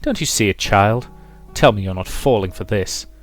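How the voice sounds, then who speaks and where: A man's voice: on the low side, animated, normal speed, lightly acted. A man voicing a domineering, suspicious mother who presses her child insistently, in a visual novel. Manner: insistent, probing, emphatic. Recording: music behind the voice.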